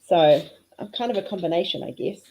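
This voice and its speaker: A woman's voice: expressive, brisk, a little mellow, poor audio. Sing-song Kiwi-accented woman chatting. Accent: New Zealand, slightly mixed with Australian. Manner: sing-song, pitch going up and down. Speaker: livestream host, diamond painting artist. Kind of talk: casual livestream chat.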